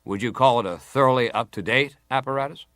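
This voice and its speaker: An actor playing an American senator who questions a witness formally, measured and insistent, in a dramatized hearing. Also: male voice, medium pitch, fairly bright tone, no room sound, clean studio sound, natural voice. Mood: formal, probing.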